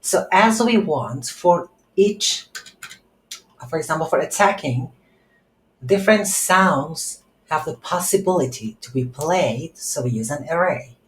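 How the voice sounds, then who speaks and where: A woman with a low voice talks slowly through a coding tutorial. She thinks aloud in halting pieces as she works. The room adds noticeable echo to her words. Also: lively intonation. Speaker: tutorial instructor. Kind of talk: unscripted software tutorial narrated while coding. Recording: roomy echo, faint background noise.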